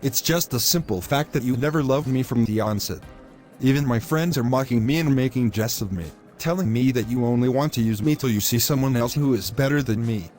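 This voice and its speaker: A man's voice: mid-range voice, brisk, a little mellow, faint music behind, lightly acted. A man playing a pushy, selfish young boyfriend in a scripted moral story, speaking in formal, wordy, insistent phrases. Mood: insistent, aggrieved.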